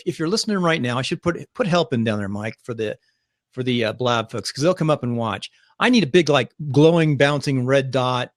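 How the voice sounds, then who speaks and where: A man's voice: medium pitch, no room sound, studio quality. A man hosting a podcast on a live video stream, talking casually and rambling through frequent restarts. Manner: rambling, restarts often, chatty. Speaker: podcast host. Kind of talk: casual livestream chat.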